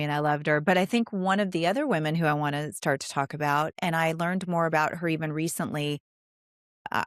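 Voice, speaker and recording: Woman, podcast host, low pitch, enthusiastic, emphatic, conversational, dry sound. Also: dry recording. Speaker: podcast host interviewing an author. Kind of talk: conversational interview.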